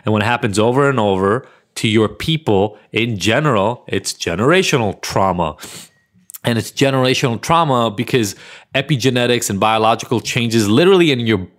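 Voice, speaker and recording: A man's voice: medium pitch, expressive, fairly quick. A man from Israel hosting a podcast, talking bluntly and emphatically in a solo monologue, with frequent swearing. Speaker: podcast host.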